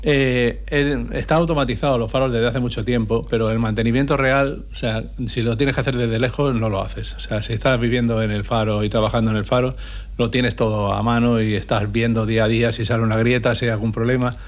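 A man from Spain, a former lighthouse keeper, answering radio interview questions in a relaxed, hesitant way. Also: mid-range voice, brisk. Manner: relaxed, anecdotal, many hesitations. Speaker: guest, retired lighthouse keeper.

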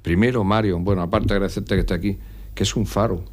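Low-voiced man interviewing on radio. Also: steady tone. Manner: friendly, halting questions. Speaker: radio historian co-host.

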